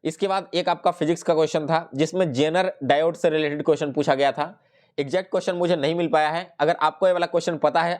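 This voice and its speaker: A man giving an explanatory exam review as a teacher, addressing students directly and mixing in English terms. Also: on the high side. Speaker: exam-prep teacher and channel host.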